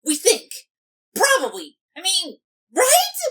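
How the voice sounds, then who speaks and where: A woman voicing an excitable, chatty young female pony in a cartoon fan dub, rambling eagerly and dramatically. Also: extremely high pitch, takes it slowly, full character voice. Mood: excited rambling.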